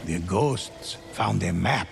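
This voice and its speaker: A man playing a grave storyteller in a game, narrating a samurai legend slowly and solemnly. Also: low-pitched, animated, gravelly, a little hiss or hum, exaggerated voice. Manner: slow, solemn, deliberate. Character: grave storyteller recounting legends of ghosts and demons. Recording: loud music under the voice. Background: loud music.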